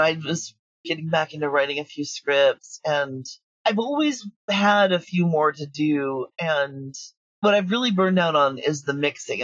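A woman's voice: deep voice, fairly bright tone. Woman on a podcast chatting about her work, storyteller type, kinda muffled lo-fi sound, no room echo. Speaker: audio drama creator, interview guest. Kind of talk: casual interview chat.

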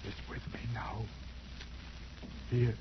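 An actor playing a ragged, half-mad, dying adventurer in a 1940s radio drama, rambling and halting, swinging between hush and outburst. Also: male voice, lowish voice, little pitch movement. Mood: feverish, reverent. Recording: muffled, noisy 1940s radio recording.

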